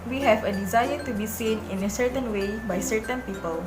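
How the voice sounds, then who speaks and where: A woman voicing a female voice assistant reading out search results in an even, flat way. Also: on the high side, a little fast, reverberant, music in the background, lightly acted. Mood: informative.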